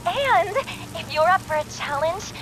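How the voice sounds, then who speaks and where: A woman's voice: very high-pitched, lively intonation, unhurried, fairly bright tone, slight background noise, full character voice, rough recording. A woman playing a sardonic, playful rebel leader in a video game, with a breezy, teasing, theatrical manner.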